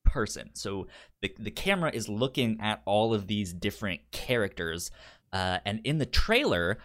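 A man co-hosting a casual film discussion, speaking haltingly and often stammering and repeating words. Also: on the low side, fairly bright tone. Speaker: film podcast co-host.

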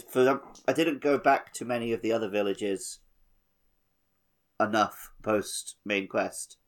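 A man with a fairly low voice talks casually as a podcast co-host. He sounds like he is chatting informally about video games. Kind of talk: casual gaming chat.

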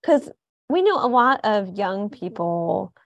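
A woman's voice: medium pitch, very animated, slow pace, no room sound, lo-fi sound. A woman with an American accent hosting a conversational interview, phrasing her thoughts in a reflective, tentative way. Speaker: host, arts reporter.

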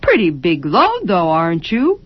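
A woman's voice: lowish voice, wildly swooping pitch, measured pace, husky, full character voice. A woman voicing a prim, polite neighborhood lady who talks in a sweet, chatty, patronizing way, on a dull lo-fi recording. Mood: sugary.

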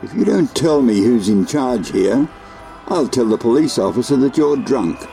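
An actor as a dignified old man, mellow and lively. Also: medium pitch, moderate pace, gravelly, soft background music. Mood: indignant. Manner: formal, earnest, admonishing. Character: merry, dignified old man, indignant defender of Christmas. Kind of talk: old-time radio drama acting.

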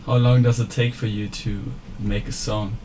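Male interviewer, low monotone voice, casual questions, clear background noise. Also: normal speed. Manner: relaxed, friendly questions.

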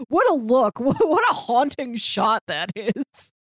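A woman's voice: on the high side, very animated, unhurried, no room sound, studio quality. A woman co-hosting a casual film discussion, chatty and often laughing as she talks. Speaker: podcast co-host.

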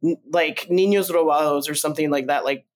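A man originally from Colombia, a podcast guest, telling his story in a loose, meandering conversational way and jumping up in pitch when he acts out remembered lines. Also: a little fast. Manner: animated, jumps up in pitch when acting out remembered quotes; loose and meandering, with frequent fillers and restarts.

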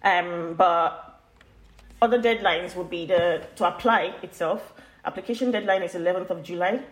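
A woman with a Nigerian accent and a slightly low voice, recorded in a roomy, echoey space. She talks conversationally as a podcast guest, in an informal, chatty way with hesitant phrasing. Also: normal speed. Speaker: guest, university postgraduate admissions officer.

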